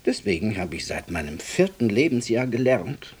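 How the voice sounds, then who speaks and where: An actor playing a gentle, devout librarian in a radio drama, reverent and reflective, with a husky breathy voice. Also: male voice, on the low side, very animated, moderate pace. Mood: reverent, wistful. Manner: reverent, reflective storytelling with deferential asides.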